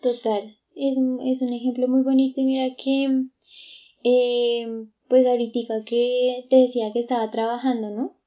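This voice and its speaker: A woman's voice: a little high, flat delivery, normal speed, warm tone, highs cut off. A woman, chatting reflectively with many fillers and restarts. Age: 20s. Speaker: guest. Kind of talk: casual conversation.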